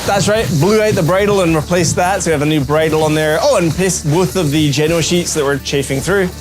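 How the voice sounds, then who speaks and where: High-voiced chatty man vlogging over music. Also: a little fast, poor audio. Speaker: sailing vlogger. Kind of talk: casual vlog narration to camera. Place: aboard a sailing boat at sea.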